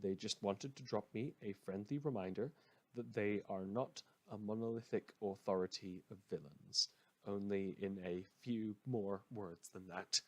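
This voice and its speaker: A man playing a troubled, defiant small-town radio host, delivering an earnest, halting monologue in an audio drama. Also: lowish voice, pitched below the performer's own voice. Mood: wry, weary.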